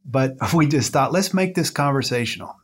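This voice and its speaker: A man's voice: medium pitch, animated, normal speed. A man co-hosting a podcast, introducing a guest and asking questions in a loose, friendly way with many fillers and restarts. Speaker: podcast co-host.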